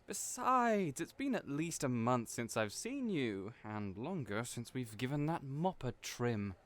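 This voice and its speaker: A man's voice: medium pitch, very animated, measured pace, a bit bright. A man putting on a flamboyant, teasing hairstylist. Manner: fussy, playful, mothering. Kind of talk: audio roleplay acting.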